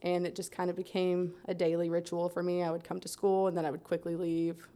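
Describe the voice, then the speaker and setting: Soft-spoken woman, low-pitched. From Central Florida. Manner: soft-spoken, steady, with frequent "um" pauses. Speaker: guest sharing her life story. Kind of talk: personal testimony in a conversation.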